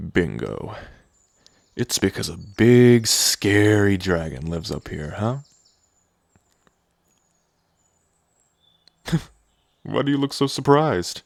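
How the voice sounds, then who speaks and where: Guy doing a cocky old dragon king in human shape, low slow teasing drawl, asmr roleplay. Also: vocal fry, no room sound, heavily acted. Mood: amused, menacing. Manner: taunting, drawling, amused. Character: ancient male dragon king in human form, smug and teasing.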